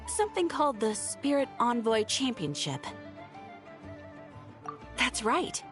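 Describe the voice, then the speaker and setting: A woman's voice: fairly high pitch, big pitch swings, takes it slowly. A woman playing a polite, helpful young guild receptionist in a video game, speaking in a courteous, formal, explanatory way. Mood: informative. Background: music.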